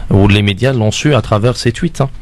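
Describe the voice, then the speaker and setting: A man's voice: on the low side, fairly quick. A man whose first language is Arabic, chatting eagerly as a radio panel guest. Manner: eager, chiming in often. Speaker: show guest.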